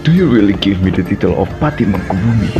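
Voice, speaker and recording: A man's voice: lowish voice, animated, quick pace, close to an everyday voice. An amateur actor, a man with an Indonesian accent, playing an ambitious, dutiful prime minister and warrior in a radio drama. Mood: dutiful, respectful. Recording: loud music behind the voice.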